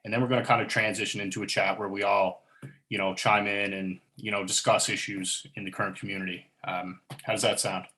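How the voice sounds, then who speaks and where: A man's voice: lowish voice, flat delivery, fairly quick, bright, forceful tone. A man from Newfoundland hosting a recovery podcast, chatting in an easygoing way. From Corner Brook, Newfoundland. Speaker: podcast host. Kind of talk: casual podcast chat.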